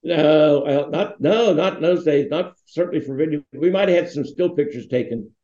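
A man's voice: on the high side, normal speed, mellow. An American man in his sixties reminiscing in a conversational interview, telling anecdotes in a rambling way. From Pittsburgh, United States. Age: 60s. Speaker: interview guest, foundation founder. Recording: dull, roomy, echoing sound.

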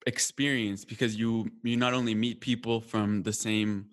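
A young man from Colombia speaks as a guest in a relaxed, reflective conversation. He restarts his phrases often and leans on fillers. Every so often he laughs at himself. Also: lowish voice, moderate pace.

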